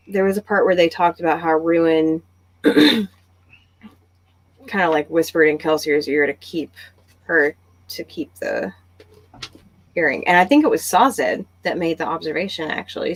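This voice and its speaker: Woman, slightly low voice, casual book club host, conversational, thinking out loud. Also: a little slow, long pauses, poor audio.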